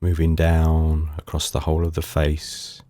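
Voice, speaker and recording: Very deep-voiced man guiding meditation. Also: no echo. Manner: calm, unhurried, soothing instruction in short phrases with pauses. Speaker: meditation guide. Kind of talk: guided body scan meditation.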